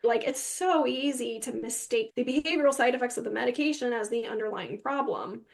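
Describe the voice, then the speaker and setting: A woman's voice: fairly high pitch, a little fast, creaky. A woman interview guest telling her personal story in a candid, informal way, peppering it with "like". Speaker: podcast guest sharing personal experience.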